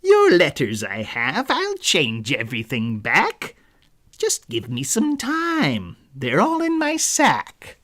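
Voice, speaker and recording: A man voicing a sly, bossy storybook villain, a letter thief, with taunting, theatrical, drawn-out phrases. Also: fairly high pitch, wildly swooping pitch, a bit bright, full character voice. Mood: smug, taunting.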